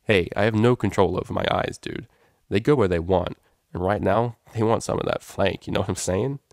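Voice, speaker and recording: A man's voice: on the low side, fairly quick, gravelly, darkish tone, no room sound, studio quality. A man voicing a cheeky, lecherous young male pony student, slangy and hissing through a grin. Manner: slangy, hissed, grinning.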